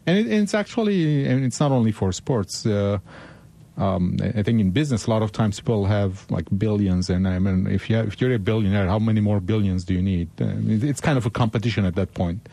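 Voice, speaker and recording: A man's voice: on the low side, fairly quick, creaky, warm tone, no echo. A man co-hosting a radio talk show, thoughtful and hesitant with many uhs, in a studio. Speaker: radio co-host.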